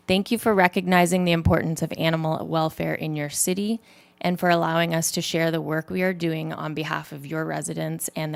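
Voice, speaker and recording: Woman with a gravelly lowish voice. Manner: reads a prepared statement steadily, small slips and restarts. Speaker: humane society representative. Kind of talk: prepared public statement. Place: city council chamber.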